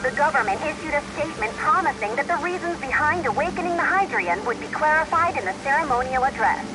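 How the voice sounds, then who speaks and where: Game role: formal radio news anchor, high voice, animated bulletin delivery over noise. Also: female voice, fast. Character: formal female radio newsreader. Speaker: radio newsreader. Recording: noisy radio broadcast with engine ambience.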